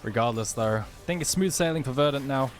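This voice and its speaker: A man's voice: mid-range voice, lively intonation, fairly quick, soft background music. A man casting a live esports match, giving breezy play-by-play and analysis. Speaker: esports caster.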